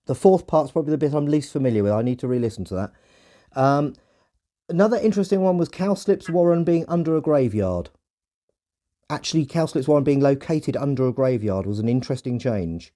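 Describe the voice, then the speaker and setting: Guy podcast host, mellow relaxed voice, thinks out loud and corrects himself, casual chat. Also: mid-range voice, fairly quick. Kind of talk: casual discussion.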